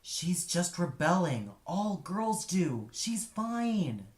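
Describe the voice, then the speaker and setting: An offhand, dismissive mother in an audio play , put on by a male narrator. Her voice is raised in pitch and waves every worry away, with a roomy echo around it. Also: big pitch swings, extremely slow, full character voice. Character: dismissive wife and mother brushing off worries. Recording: echoey room.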